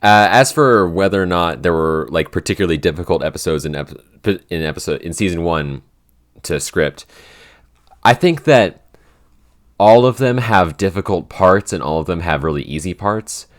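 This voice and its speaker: Very deep-voiced young man, rambling chat. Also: expressive, normal speed. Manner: rambling, lots of "like" and restarts. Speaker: podcast host and show writer. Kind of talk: casual chat.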